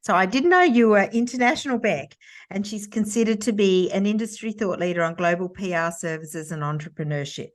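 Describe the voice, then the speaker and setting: A woman's voice: mid-range voice, a little fast. Casual woman podcast co-host, easy laughing chat, medium voice. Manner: relaxed, chatty, laughs easily. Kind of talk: casual chat and guest introduction.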